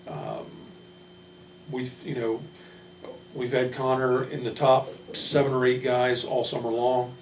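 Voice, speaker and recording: A man speaking as a basketball coach, giving informal player introductions in a measured, hesitant way with frequent pauses. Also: mid-range voice, level tone. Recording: roomy, distant mic, faint hum.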